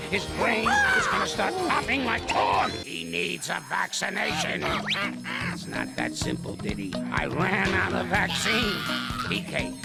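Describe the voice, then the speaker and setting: An actor voicing a crotchety old male ape in a cartoon series, barking insults in a gravelly voice. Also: high-pitched, exaggerated voice. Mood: urgent. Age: older. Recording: clip with music behind. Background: music.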